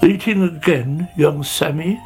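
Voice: medium pitch, unhurried, darkish tone, faint music behind, pitched above the performer's own voice, natural voice. A narrator doing a weary, reproving old snail. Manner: sighing, reproving.

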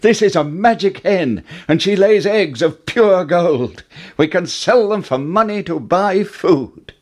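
A man voicing an eager, plucky young boy in a fairy tale, exclaiming with excitement. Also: sits high, slow pace, pitched above the performer's own voice, exaggerated voice. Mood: excited.

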